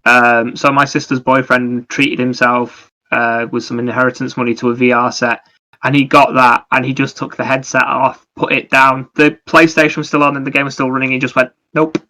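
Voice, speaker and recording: Bright-voiced man, fairly even pitch. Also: normal speed. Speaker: podcast co-host. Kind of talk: casual gaming podcast chat.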